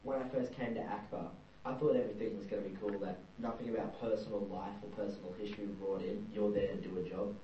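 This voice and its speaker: A man's voice: fairly low pitch, fairly even intonation, fast talker, few pauses. A young Australian man giving a thoughtful, searching personal account as an interviewee. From New South Wales, Australia. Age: young adult. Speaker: interviewee, performing arts student. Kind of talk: reflective personal testimony. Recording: distant, dull, roomy with faint hum.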